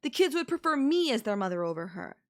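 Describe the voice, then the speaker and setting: A woman's voice: a little high, sing-song, fast talker. A woman playing a manipulative young babysitter who is obsessively in love with her employer, speaking in a conversational, possessive and defensive way in an intimate audio roleplay. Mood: desperate, indignant. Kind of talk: anime-style audio roleplay acting, intimate one-to-one.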